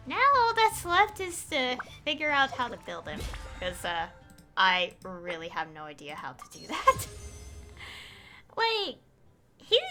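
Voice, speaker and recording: Animated, bright-voiced woman game streamer. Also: mid-range voice. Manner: hesitant, rambling, thinking aloud. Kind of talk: casual livestream gameplay chat.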